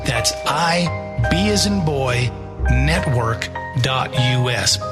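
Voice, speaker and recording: A man's voice: mid-range voice, animated, takes it slowly. A man presenting a classic radio show with scripted promotional narration. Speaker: host and presenter. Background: loud music.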